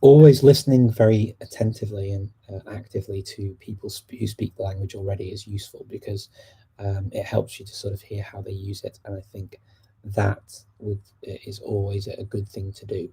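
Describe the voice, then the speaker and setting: A man's voice: on the low side, normal speed, breathy edge, low-quality recording. A man hosting a language-learning livestream, chatting casually as he answers viewers' questions. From United Kingdom. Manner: relaxed and chatty, answering questions as they come in.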